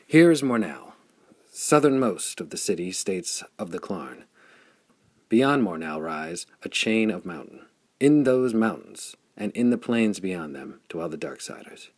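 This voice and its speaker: A man's voice: low voice, big pitch swings, unhurried, slightly rough, dry recording, exaggerated voice. A man voicing a grave, bitter commander in an audiobook, speaking in formal, emphatic declamation. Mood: grave, urgent. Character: grave, bitter male commander warning of raiders.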